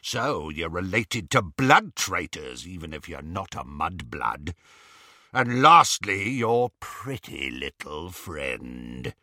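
A man voicing a savage, gloating male werewolf with a rasping growl and a menacing, relishing manner in an audiobook story. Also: sits low, big pitch swings, takes it slowly, bright, forceful tone, no echo, studio quality, heavily acted. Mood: sinister relish.

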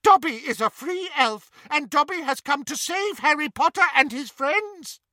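A man voicing a tiny, brave house-elf who speaks in an earnest squeak. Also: expressive, moderate pace, sharp tone, dry recording, clean studio sound, heavily acted. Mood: defiant. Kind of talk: audiobook character voice.

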